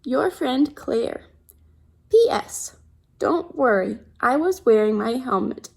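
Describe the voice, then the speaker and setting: A woman's voice: sits high, lively intonation, takes it slowly, creaky, a little mellow, breathy edge, full character voice. A woman voicing a sweet, earnest young schoolgirl in a careful storybook manner. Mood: sweet, reassuring.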